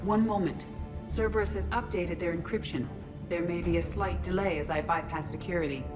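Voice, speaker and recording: A woman voicing a calm, loyal artificial intelligence in a robot body for a video game, speaking in formal, precise phrases. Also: lowish voice, fairly quick, music in the background, close to an everyday voice. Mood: cool, factual.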